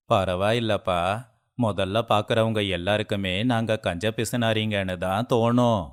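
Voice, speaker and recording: A man voicing a kindly, thrifty old village grandfather in a moral story, gentle and forgiving. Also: lowish voice, slow. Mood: amused forgiving.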